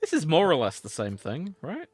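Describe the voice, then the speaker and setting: A man's voice: a little high, huge pitch swings, normal speed, a crowd in the background. A man with a British accent bantering casually as a podcast co-host, wry and joking. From Britain.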